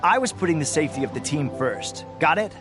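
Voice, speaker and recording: A man's voice: a little high, animated, quick pace, close to an everyday voice. A man playing an earnest, emphatic, dutiful soldier in an anime video game. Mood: indignant. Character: earnest, defensive male soldier and squad leader. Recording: voice over game music.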